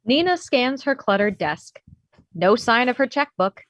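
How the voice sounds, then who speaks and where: A woman's voice: a little high, sing-song, measured pace, fairly bright tone, no room sound. A woman narrating the stage directions of a script at a table read. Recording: dull, slightly lo-fi audio.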